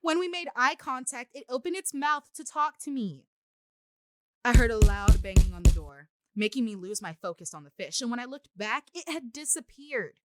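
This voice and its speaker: A woman's voice: a little high, expressive, moderate pace, close to an everyday voice. A woman playing an anxious young partygoer turning into a mermaid, reading a horror story in the first person over music. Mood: uneasy, dramatic.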